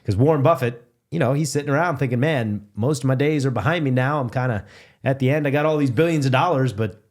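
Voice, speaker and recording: A man in his forties talks as a podcast guest into a desk mic in a dim studio. He is chatty and self-deprecating, with plenty of "you know" and "right" in his speech. His voice has a middle pitch for a man. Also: fast. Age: 40s. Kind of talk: casual chat.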